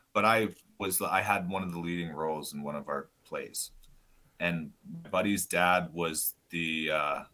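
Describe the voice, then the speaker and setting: A man guest on a podcast, talking loud and casual, swearing often and rambling as he goes. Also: sits low. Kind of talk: casual chat.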